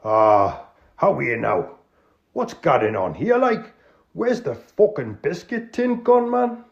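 A man's voice: fairly high pitch, big pitch swings, slow, gravelly, pitched above the performer's own voice, heavily acted. A man with a Geordie accent voicing a bossy male leader of a small-time crook club, chummy and swearing, in a dull lo-fi recording. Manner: chummy, swearing, calls everyone pet.

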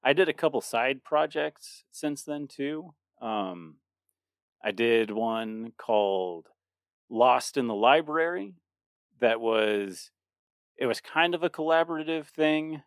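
A man's voice: mid-range voice, expressive, slow, clear, resonant tone, no echo, studio quality. Laughing, rambling man in his thirties. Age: 30s. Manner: rambling, laughing often, many restarts. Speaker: podcast co-host. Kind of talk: casual chat.

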